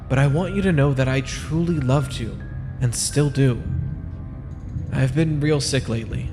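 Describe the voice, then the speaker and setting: A man's voice: medium pitch, natural voice. An actor playing a lonely, sickly, heartbroken young man in a comic dub, earnest and emotive. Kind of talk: dramatic comic dub acting. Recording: long reverb, music behind.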